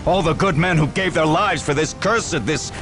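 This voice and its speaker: An actor playing a bitter, defiant army commander in a video game cutscene, declaiming with heavy emphasis. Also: male voice, fairly high pitch, normal speed, loud music behind the voice, natural voice. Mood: anguished, bitter.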